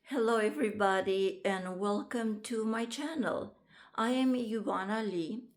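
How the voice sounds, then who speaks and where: A woman, introducing herself to camera in a casual vlog, halting often with ums and restarts. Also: mid-range voice. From Romania. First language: Romanian. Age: 50s. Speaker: vlogger and author.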